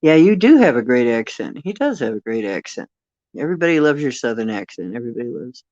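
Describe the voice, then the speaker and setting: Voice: mid-range voice, big pitch swings, fast talker, darkish tone, no room sound, dull audio. An older host with a Northeastern Ohio accent, chatting casually and expressively, laughing often and trailing off with fillers. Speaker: channel host. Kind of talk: casual livestream chat.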